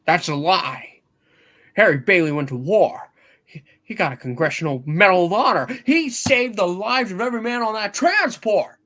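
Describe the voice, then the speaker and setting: A woman's voice: mid-range voice, animated, moderate pace, fairly bright tone. A woman playing a distraught, desperate family man in a hammed-up radio play reading. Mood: indignant disbelief.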